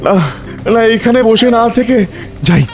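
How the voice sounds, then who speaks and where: A man's voice: extremely high pitch, normal speed. A man voicing a humble, loyal, fretful servant in a cartoon, pleading and repeating his phrases. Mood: weary, anxious. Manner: pleading, breathless repetition of phrases. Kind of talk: melodramatic cartoon voice acting. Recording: prominent music behind the voice.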